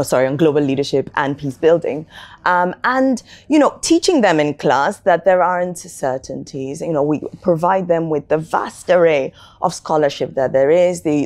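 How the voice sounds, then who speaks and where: A middle-aged woman speaks as an academic guest in an interview. She is thoughtful and measured, often pausing and repeating a word as she works out her point. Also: sits low, mellow. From Nigeria. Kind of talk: reflective interview talk. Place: indoor interview set, seated.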